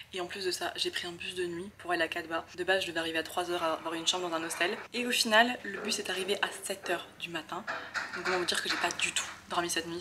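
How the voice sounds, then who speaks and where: Woman in her twenties, slightly low-pitched, quick slangy chat, travel vlog style, noticeable background noise. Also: sharp tone. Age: 20s. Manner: informal, slangy, talkative. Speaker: travel vlogger. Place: on location while travelling: street, bus, boat, outdoors by water. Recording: noisy travel ambience.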